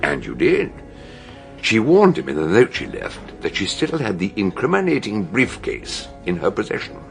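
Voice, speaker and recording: Gravelly, theatrical British detective doctor. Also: male voice, on the low side, wildly swooping pitch, soft background music, exaggerated voice. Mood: confident, explaining. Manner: theatrical, emphatic storytelling with dramatic pauses. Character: shrewd, theatrical older male detective doctor explaining a murder. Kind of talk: British radio drama acting.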